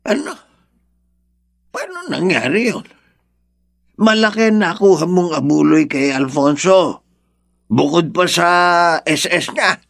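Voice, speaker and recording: A kindly old Filipino lolo in a radio drama. His voice is creaky and raised, swinging up and down as he questions and exclaims with concern. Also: fairly high pitch, big pitch swings, takes it slowly, long pauses, heavily acted. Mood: concerned, reproving. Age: older. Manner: exclaiming, questioning, gently reproving.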